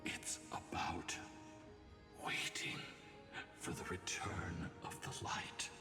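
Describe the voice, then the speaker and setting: A man voicing an ancient, solemn male spirit guardian in a game, slow and grave, with a breathy voice and ghostly reverb. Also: lowish voice, lively intonation, in a whisper. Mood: grave, reverent. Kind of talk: solemn scripted game dialogue. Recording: echoing, distant, music behind. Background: game music.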